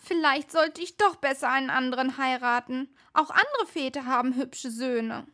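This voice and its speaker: Spoiled young princess: extremely high voice, girlish, pouty storybook delivery. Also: expressive, normal speed, bright, forceful tone. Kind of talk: fairy-tale audio drama acting.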